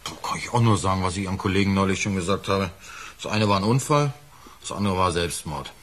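A man's voice: low-pitched, fast talker, fairly bright tone, slight background noise. An actor playing a plain-spoken, defensive working man who talks bluntly and colloquially in a radio play. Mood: guarded, defensive.

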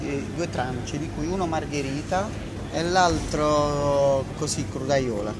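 A man's voice: fairly high pitch, unhurried, noisy background. A young man in a casual vlog, chatty and upbeat, filming himself with a phone at arm's length. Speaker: band member vlogging. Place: outdoors, in a car and backstage at an open-air concert. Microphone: phone camera at arm's length.

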